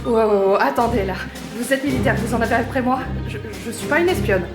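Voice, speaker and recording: Girl acting jumpy young woman, blunt, highish voice, echoey with music. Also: quick pace, lightly acted. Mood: defensive. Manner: blunt, agitated, stammering. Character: anxious, defensive young woman, a fugitive survivor. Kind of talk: French audio drama acting. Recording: roomy echo, music behind.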